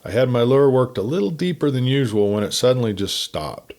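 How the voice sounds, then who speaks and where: A man's voice: mid-range voice, lively intonation, a little fast, darkish tone. A man reading a folksy first-person story in a campfire-tale narration style.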